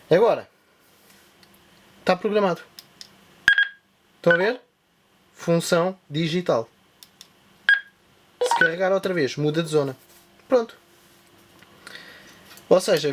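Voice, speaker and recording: Tech tutorial host, a man with a European Portuguese accent, fairly high voice, step-by-step demo. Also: noisy background. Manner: step-by-step explaining while demonstrating. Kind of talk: hands-on device tutorial.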